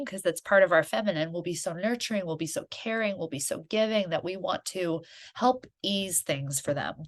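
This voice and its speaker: A woman hosting a solo podcast, talking in an earnest, encouraging, conversational way, with a stuffy nose from a head cold. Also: on the low side. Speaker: podcast host.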